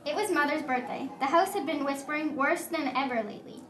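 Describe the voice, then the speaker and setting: High-voiced woman narrating a puppet show. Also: fairly quick. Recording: live show with crowd noise.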